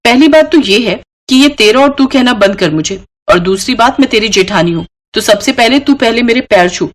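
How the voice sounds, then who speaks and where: A woman's voice: a little high, expressive, normal speed, pitched above the performer's own voice, natural voice. A woman voicing a playful, hot-tempered young Indian daughter-in-law in a storybook tale, teasing and quarrelsome. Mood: mock bossy, teasing.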